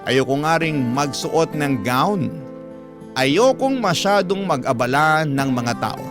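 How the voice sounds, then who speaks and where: A man's voice: fairly high pitch, very animated, slow. A man with a Filipino accent narrating as a radio storyteller, in an emotional, scripted classic radio style. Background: music.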